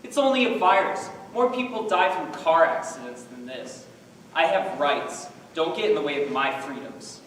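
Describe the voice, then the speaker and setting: A man playing a stubborn, angry, loudly defiant citizen in a stage play. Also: on the high side, lively intonation, moderate pace. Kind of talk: stage acting. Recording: echoey hall from far off, faint noise.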